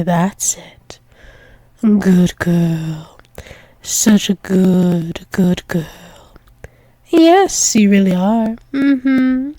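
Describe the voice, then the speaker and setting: A woman's voice: medium pitch, warm tone, no echo, studio quality. A woman playing a dominant, doting female alpha werewolf demon in an intimate ASMR roleplay, coaxing and soothing, often dropping into whispers. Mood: whispered, coaxing, then praising, teasing.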